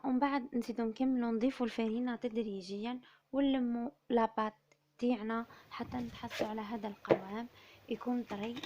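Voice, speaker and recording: A woman with an Algerian Arabic accent who is slightly hoarse from illness, calmly explaining a recipe as a cooking-channel host. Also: on the high side. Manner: soft, subdued, steady explaining. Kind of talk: recipe tutorial voice-over.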